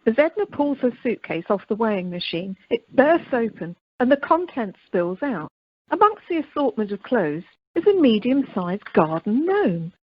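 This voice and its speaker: A woman's voice: a little high, big pitch swings, moderate pace, no room sound. Female narrator, scripted radio comedy; dry, muffled telephone-conference sound.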